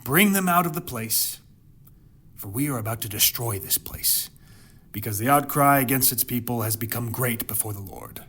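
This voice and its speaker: A man hosting a Bible podcast, delivering dramatic scripted commentary and scripture reading with emphatic repetition, rhetorical questions and deliberate pauses. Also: mid-range voice, expressive, a bit bright, slightly rough. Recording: music playing under the voice.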